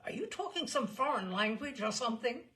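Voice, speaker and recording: A woman's voice: a little high, expressive, a little fast, pushed and bright, pitched above the performer's own voice. A woman voicing a blustering, outraged old English general in a storybook reading, clipped and indignant. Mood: suspicious.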